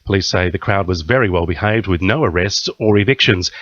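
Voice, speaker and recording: A man's voice: low voice, brisk, fairly bright tone, no echo, highs cut off. A man with an Australian accent reading a scripted radio news bulletin. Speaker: news reader.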